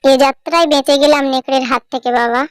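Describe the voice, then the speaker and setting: Woman as a tiny high-pitched coaxing mother sparrow. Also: steady tone, quick pace, dry recording, clean studio sound, natural voice. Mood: soothing, relieved. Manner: coaxing, persuasive. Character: clever pleading little mother sparrow. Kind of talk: cartoon voice acting.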